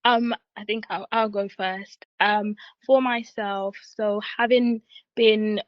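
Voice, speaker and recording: A woman's voice: medium pitch, steady tone, slow, no echo. A woman in her twenties, born in Zimbabwe and raised in the UK, speaking thoughtfully on a panel with hesitant fillers. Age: 20s. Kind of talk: panel discussion on a video call. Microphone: video call. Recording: dull, dry video call audio.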